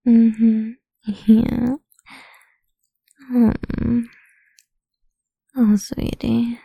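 A woman's voice: a little high, flat delivery, very slow, gravelly, slightly rough, no room sound, dull audio. A young woman whispering close to the microphone in an intimate roleplay, with a dominant, teasing manner. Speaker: audio roleplay performer.

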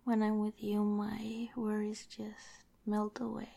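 A woman whispering tenderly and haltingly in an intimate, affectionate romantic ASMR roleplay, her voice breathy. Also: mid-range voice, completely flat delivery, mellow.

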